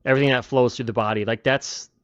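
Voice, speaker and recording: A man in his forties in a casual podcast chat who talks haltingly and fills gaps with "like". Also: medium pitch, fairly even intonation, fairly bright tone, dry recording. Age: 40s. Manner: halting, full of "like" fillers. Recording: dull lo-fi dry sound.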